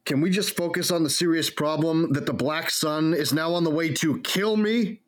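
A man acting as an impulsive young smuggler in a sci-fi audio drama, excitable, defensive and casual, with a husky voice. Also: a little high, moderate pace, close to an everyday voice.

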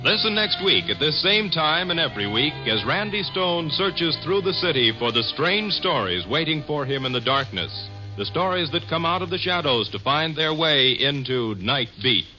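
High-pitched, animated male vintage radio announcer. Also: moderate pace, hardly any pauses. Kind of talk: 1950s radio announcing, promotional read. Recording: dull vintage radio broadcast, music behind.

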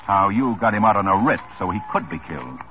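An actor playing a tough, wry police lieutenant in a 1950s radio drama, needling suspects with dry sarcasm. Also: male voice, lowish voice, lively intonation, fast talker, bright, forceful tone. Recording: dull old radio broadcast with music.

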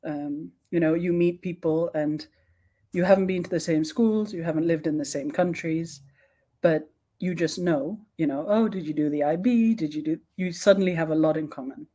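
A young woman speaking as a guest expert in an online talk, thoughtful, pausing often with ums and restarting her sentences. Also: sits low, dark tone. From born in Sweden, raised in several countries.

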